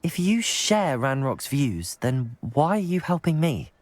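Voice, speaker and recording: A man's voice: mid-range voice, very animated, a little slow, no echo. An actor playing an earnest, composed young male student wizard in a video game, polite, articulate and full of questions. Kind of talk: video game cutscene acting.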